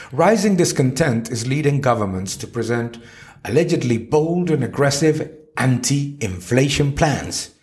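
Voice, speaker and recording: A man reads a scripted article aloud in a mid-range voice. His intonation swings widely up and down. He phrases each point with deliberate emphasis, as a narrator presenting an argument. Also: medium pitch, darkish tone. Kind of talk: scripted reading of an economics article.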